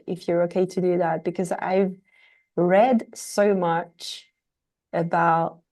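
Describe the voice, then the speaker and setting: A woman host with a mellow, warm tone and a rather flat, even pitch leads the conversation. She affirms her guest readily and often pauses to find her words. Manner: enthusiastic, affirming, searching for words. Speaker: podcast host, fertility coach. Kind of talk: conversational interview.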